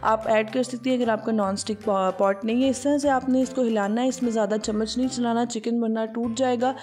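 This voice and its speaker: A young woman, host of a cooking channel, giving steady step-by-step voice-over instructions for a recipe. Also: fairly high pitch, brisk. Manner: steady, explaining step by step with many fillers. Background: soft background music.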